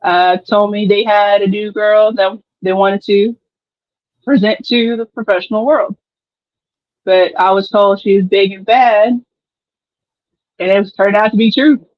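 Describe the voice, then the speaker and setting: A woman's voice: mid-range voice, steady tone, unhurried, pauses often, no room sound, muffled recording. A woman wrestler from Dallas, confidently talking up her matches. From Dallas, Texas. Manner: confident, tough talk. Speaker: professional wrestler guest. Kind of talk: casual interview chat.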